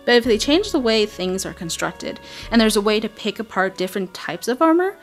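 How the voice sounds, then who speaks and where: A woman hosting a game video, giving an excited, chatty, emphatic voice-over breakdown. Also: medium pitch, brisk. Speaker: video game channel host. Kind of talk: game trailer breakdown voice-over, semi-scripted. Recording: voice-over with background music. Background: music.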